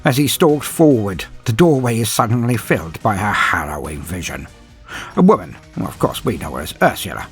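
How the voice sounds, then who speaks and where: A man's voice: medium pitch, breathy edge. A man playing a grim, proud, dryly sardonic inquisitor, narrating a battle in a theatrical, brooding way as a first-person audio drama. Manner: theatrical, brooding, with weighty pauses.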